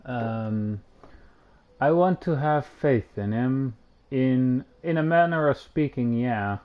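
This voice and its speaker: Slow-paced man streaming, dull mic. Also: mid-range voice. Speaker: game streamer. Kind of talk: reading game dialogue aloud with casual asides. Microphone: home streaming microphone.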